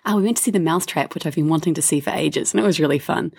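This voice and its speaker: A woman's voice: deep voice, rapid-fire, creaky, darkish tone, breathy edge, no room sound. A woman co-hosting a travel podcast, telling stories in a chatty, easygoing way. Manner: chatty storytelling with laughs and fillers. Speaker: podcast co-host. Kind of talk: casual travel chat.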